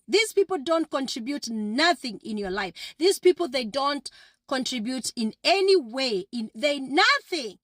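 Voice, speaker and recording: A woman's voice: high voice, fairly bright tone, no room sound, clean studio sound. A woman giving a motivational address to her viewers in an emphatic, repetitive, preacher-like manner. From Kenya. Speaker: vlogger.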